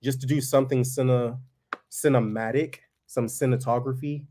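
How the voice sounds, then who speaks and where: A man with a monotone voice chatting casually to viewers as host of a family farm vlog, laid-back and folksy. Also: medium pitch, moderate pace.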